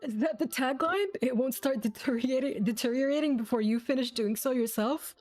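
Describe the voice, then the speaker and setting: A woman's voice: high-pitched, brisk, a little mellow, faint music behind. A woman streaming a game, giving casual commentary as she reads and reacts to the story.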